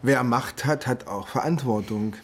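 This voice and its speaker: An actor playing a pushy, easily flustered police detective in a naturalistic German radio drama. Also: male voice, medium pitch, unhurried, breathy edge. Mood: smooth, courting.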